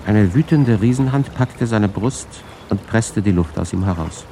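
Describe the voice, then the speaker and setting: An actor playing the narrator of a tense adventure tale in a 1980s radio drama, telling it dramatically. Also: male voice, lowish voice, unhurried. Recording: noisy radio drama with water ambience.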